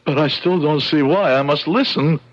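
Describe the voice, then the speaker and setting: An actor playing a proud, stubborn immigrant shoemaker with formal, deliberate speech in an old-time radio drama. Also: male voice, a little high, close to an everyday voice. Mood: indignant, resolute. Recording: dull lo-fi radio drama recording.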